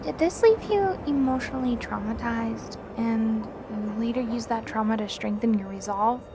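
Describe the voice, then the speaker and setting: Woman playing a high-voiced deadpan young mare. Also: moderate pace, background noise, close to an everyday voice. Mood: dry curiosity. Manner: deadpan, formal, blunt. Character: reserved, dry-witted young female pony, blunt skeptic. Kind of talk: acted dialogue in a fan-made audio drama.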